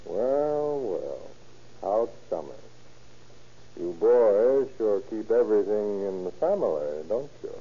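An actor playing a patient, sardonic police officer who leans on an informant in a 1930s radio crime drama. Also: male voice, on the low side, big pitch swings, slow, dark tone, heavily acted. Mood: sardonic, pressuring. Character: smooth-talking, needling police officer leaning on an informant. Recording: muffled 1930s radio recording.

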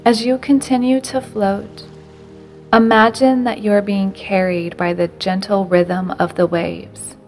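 A woman narrating a guided meditation in a slow, gentle, unhurried way, with softly breathed phrase endings. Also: medium pitch, little pitch movement, soft background music.

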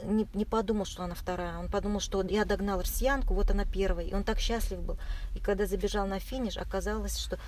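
Woman, mid-pitched voice, interview guest, casual recollection, halting phrasing, clear background noise. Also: steady tone, darkish tone. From Russia. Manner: halting, searching for words. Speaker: interview guest, marathon runner.

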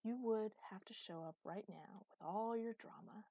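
Immortal female ruler for fantasy audiobook, voiced by a woman: imperious, exasperated, biting sarcasm, mid pitch. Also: moderate pace, creaky, warm tone, no echo. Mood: weary, exasperated. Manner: bitter, sardonic, hissing outbursts.

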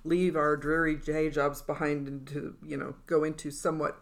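A woman in her sixties co-hosting a casual podcast, calm and measured. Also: deep voice. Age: 60s. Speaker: podcast co-host. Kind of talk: casual chat.

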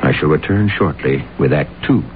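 A man's voice: extremely low pitch, fairly quick. A man hosting and narrating a radio mystery drama in a solemn, theatrical way. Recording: tinny old radio broadcast with music behind.

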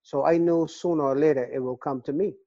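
An older man from Barbados with a Barbadian accent, telling his story in a casual interview, earnest and halting with repeated words. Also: a little high, steady tone, mellow, dry recording. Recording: dull, dry lo-fi recording.

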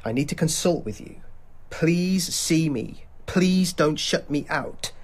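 An actor playing a coolly insistent, sceptical police detective questioning a suspect in a radio drama. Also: male voice, on the high side, lively intonation, takes it slowly, husky, full character voice. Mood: cool, pressing.

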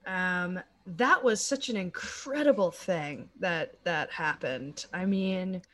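A young woman co-hosting a podcast, chatting casually and agreeing warmly with her partner. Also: lowish voice, slow. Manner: agreeable, encouraging, many "mm-hmm"s. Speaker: podcast co-host. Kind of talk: casual chat.

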